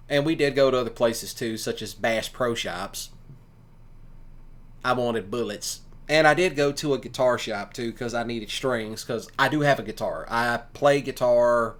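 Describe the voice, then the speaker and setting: A man's voice: mid-range voice, fairly bright tone. Folksy man. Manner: folksy and colloquial. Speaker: streamer and ASMR audio creator. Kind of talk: casual rambling commentary.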